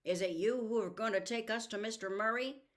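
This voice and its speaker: A woman's voice: fairly high pitch, fairly quick, pitched above the performer's own voice, natural voice. Woman as a bold, challenging teenage boy. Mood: challenging, determined. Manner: challenging, forthright. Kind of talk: audiobook character voice.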